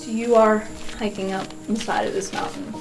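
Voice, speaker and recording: Woman, mid-pitched, game master, improvised story narration, music underneath. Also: little pitch movement, normal speed, poor audio. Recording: music playing behind the voice.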